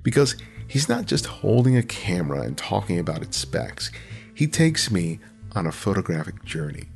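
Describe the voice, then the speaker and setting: Male podcast host, deep rough voice, animated, chatty delivery. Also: moderate pace, soft background music. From United States. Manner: chatty, hesitant restarts when asking questions. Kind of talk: interview podcast. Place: home studio, Southern California.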